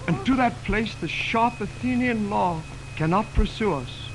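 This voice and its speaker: Young male romantic lead, high-pitched, declamatory and ardent, Shakespeare on vintage radio, strong background hiss. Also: a little slow. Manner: declamatory, theatrical. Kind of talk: 1920s radio Shakespeare acting. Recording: noisy 1929 radio aircheck on film.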